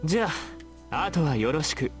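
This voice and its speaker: Man acting a smug, high-pitched teenage schoolboy. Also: expressive, rapid-fire, lightly acted. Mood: breezy, smug. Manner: flippant, condescending, pushy. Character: smug, bossy teenage schoolboy archery club member. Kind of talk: Japanese visual novel voice acting. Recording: background music under voice.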